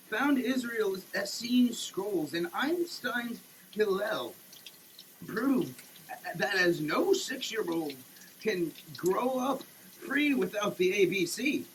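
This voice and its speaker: High-pitched theatrical man declaiming slowly. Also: a bit bright, slight background noise, rough recording. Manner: theatrical, preachy, emphatic pauses. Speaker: sketch performer. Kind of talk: dramatic reading of a soap label, declaimed like a sermon. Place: shower, per the page.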